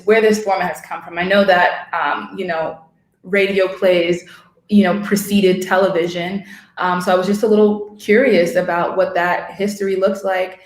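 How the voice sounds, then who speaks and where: Reflective woman in a roomy, echoing space. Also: lowish voice. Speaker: writer in a discussion. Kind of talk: casual conversational discussion. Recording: roomy, echoing room sound.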